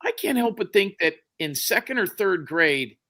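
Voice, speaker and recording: A man in his forties, teasing and crude, chatting on a livestream with loud outbursts. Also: high voice, sing-song, moderate pace. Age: 40s. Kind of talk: crude joking chat on a livestream.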